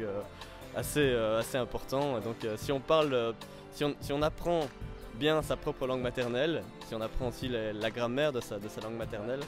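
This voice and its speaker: Man in his twenties, halting. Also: mid-range voice. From Belgium. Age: 20s. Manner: hesitant, many fillers and restarts, chuckles. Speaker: interview guest, French tutor. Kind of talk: casual interview.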